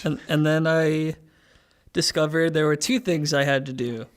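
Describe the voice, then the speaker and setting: A man casually recapping his character's story as a game podcast player, hesitating and pausing to find words. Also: fairly high pitch, fairly even intonation, clean tone.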